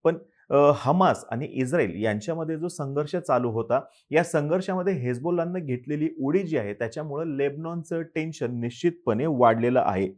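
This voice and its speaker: A man giving a scripted news explainer in a measured, explanatory way, asking rhetorical questions and answering them. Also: on the high side, a little mellow.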